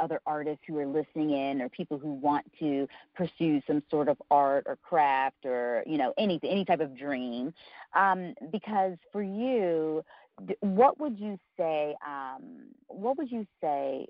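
A woman's voice: low voice, no room sound. A woman hosting a podcast interview, asking long, winding questions with plenty of ums. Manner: engaged, asks long rambling questions. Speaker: podcast host. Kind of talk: interviewing a guest. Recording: dull, muffled podcast audio.

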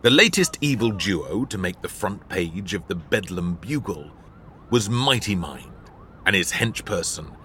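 A man narrating a children's adventure audiobook in an expressive, theatrical way into a studio microphone. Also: sits low, a little slow, a little hiss or hum. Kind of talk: scripted storybook narration.

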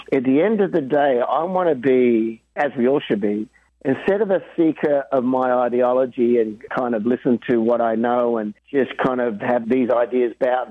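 A man being interviewed about drumming and faith, talking in an earnest, animated way and laughing easily. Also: medium pitch, dry recording. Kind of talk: casual interview chat. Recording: dry tinny phone-like line.

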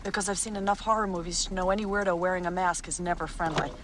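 A woman's voice: on the low side, fairly even intonation, quick pace, lightly acted. An actress playing a nervous young woman in a film scene. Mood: wary. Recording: distant film audio with loud noise.

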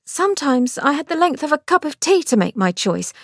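Woman doing prim young lady, indignant, pitched high, dry close sound. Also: big pitch swings, fairly quick, no room sound. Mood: earnest. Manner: indignant, earnest.

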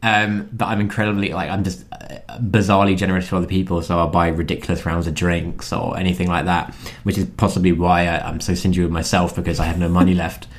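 A young man, co-hosting a podcast in a relaxed, joking way with lots of fillers. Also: deep voice, quick pace, hardly any pauses. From London. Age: 20s. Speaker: podcast co-host. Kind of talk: casual podcast chat.

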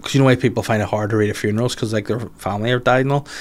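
A man's voice: on the low side, steady tone, very fast. A young man, a comedian co-hosting a podcast, chatting casually with lots of restarts, laughter and swearing. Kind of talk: casual chat.

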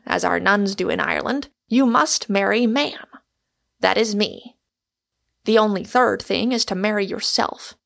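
A woman's voice: mid-range voice, gravelly, a bit bright. A woman voicing an exuberant, argumentative Irishman, a storybook suitor who declaims with great emphasis.

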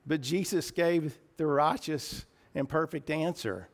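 A man with a raspy voice preaching a sermon in church, earnest and a little emotional, often clearing his throat. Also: fairly high pitch, a little slow.

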